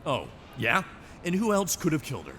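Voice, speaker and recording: A man's voice: a little high, wildly swooping pitch, fairly quick, fairly bright tone, slight background noise. A man playing an indignant, sarcastic government agency official in a drama, confrontational in manner. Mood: scornful. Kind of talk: dramatic dialogue.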